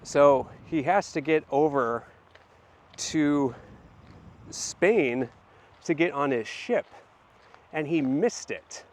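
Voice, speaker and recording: Hoarse man rambling in a casual vlog. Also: a little high, expressive, takes it slowly, long pauses. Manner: rambling, many fillers and restarts. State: hoarse, voice deepened by illness. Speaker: channel host. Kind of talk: casual walk-and-talk vlog.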